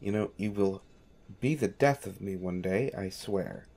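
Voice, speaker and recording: A man's voice: deep voice, a little slow, creaky, very noisy. A man playing a devoted, patient manservant in an audio roleplay, polite and dryly wry, with hesitant phrasing. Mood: fond exasperation. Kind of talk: scripted audio roleplay, speaking directly to listener.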